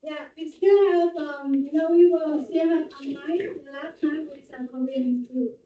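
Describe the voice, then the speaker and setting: A woman's voice: high voice, steady tone, unhurried. A woman organizing a class, giving brief, friendly prompts in a classroom. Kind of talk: casual classroom remarks. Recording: echoey room, distant mic, dull sound.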